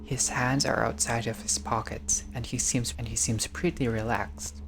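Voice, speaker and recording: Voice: mid-range voice, steady tone, normal speed, vocal fry, music in the background, poor audio. A gamer reading a visual novel aloud in a casual let's-play style, breaking off into joking, sweary asides.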